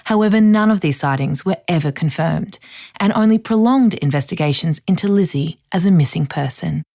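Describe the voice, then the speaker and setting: A woman acting as a composed true-crime podcast host, measured, probing and sceptical, in an audio drama. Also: fairly low pitch, expressive, dark tone. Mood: measured, serious.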